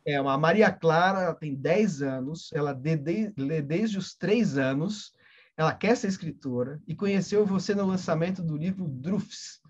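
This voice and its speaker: Man hosting a chat, husky voice, raised pitch, muffled lo-fi audio, chatty with restarts. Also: moderate pace. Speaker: host. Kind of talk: casual interview chat. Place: library event.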